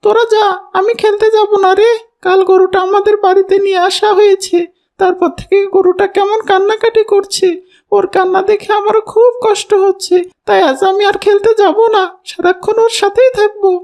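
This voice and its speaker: A woman voicing a gentle young village boy in a cartoon, earnest and pleading in a childlike way. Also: very high voice, flat delivery, normal speed. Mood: sad, caring. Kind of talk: cartoon voice acting.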